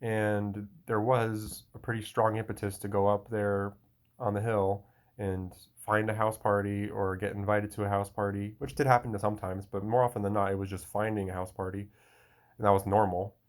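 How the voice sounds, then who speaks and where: A man's voice: lowish voice, flat delivery, normal speed. A young man talking in a casual solo monologue, rambling and restarting often. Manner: rambling, frequent restarts and fillers.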